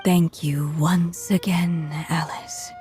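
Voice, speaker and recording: A woman voicing a fading, motherly witch storyteller, gentle, breathy and solemn, in dramatic video game dialogue. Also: fairly low pitch, steady tone, slow pace. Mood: weary, wistful. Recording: loud music behind the voice.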